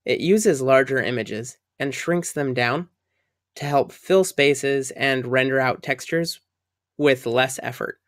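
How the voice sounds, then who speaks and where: Man, tutorial narration, friendly and upbeat, mid pitch, dry close recording. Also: takes it slowly, no echo. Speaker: software tutorial instructor. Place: voice-over for a screen recording.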